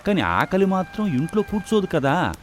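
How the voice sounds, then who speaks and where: A man's voice: high voice, very animated, moderate pace, faint music behind. A man playing a poor but confident, philosophical food stall owner in a dramatized moral story, earnest and reassuring. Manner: reassuring, earnest, proverb-laden.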